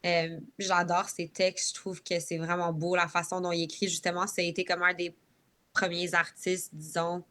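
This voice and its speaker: Female guest singer, Quebec French accent, bright forward voice, casual interview talk. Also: fairly low pitch, flat delivery, moderate pace.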